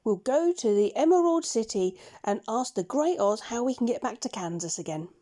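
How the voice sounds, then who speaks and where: A woman voicing an eager young farm girl in a children's storybook, talking brightly to her pet. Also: fairly high pitch, lively intonation, moderate pace. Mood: cheerful, resolute.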